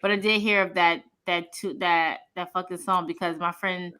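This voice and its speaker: A woman's voice: fairly low pitch, moderate pace, smooth. A woman co-hosting a podcast in casual live-stream chat, telling stories with lots of laughter. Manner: animated storyteller, laughs often, acts out quoted friends. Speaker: podcast co-host.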